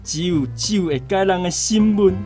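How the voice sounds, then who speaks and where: A man's voice: sits high, normal speed, a little mellow, lightly acted. A man acting in a Taiwanese radio drama as a carefree, hearty drinker with a loose way of talking. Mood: jovial, coaxing. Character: carefree, laughing male drinker urging others to drink. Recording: plucked guitar music behind voice.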